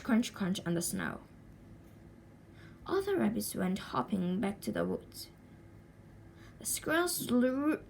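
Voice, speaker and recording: A woman reading a children's story aloud in an expressive, deliberate way with a sing-song lilt. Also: medium pitch, creaky, a little hiss or hum. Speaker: storybook reader.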